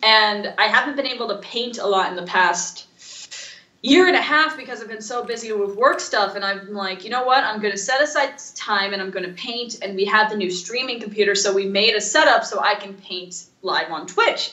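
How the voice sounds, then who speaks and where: A woman co-hosting a casual podcast, rambling through stories in an animated, informal way. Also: mid-range voice, echoey room, lo-fi sound. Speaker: podcast co-host. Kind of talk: casual chat.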